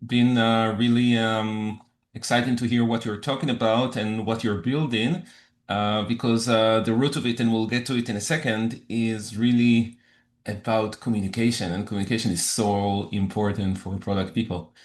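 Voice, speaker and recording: A man's voice: fairly low pitch, fairly even intonation, moderate pace. A man co-hosting a podcast, asking questions in a hesitant way with many uhs. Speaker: podcast co-host. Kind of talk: casual podcast interview. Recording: roomy echoey room.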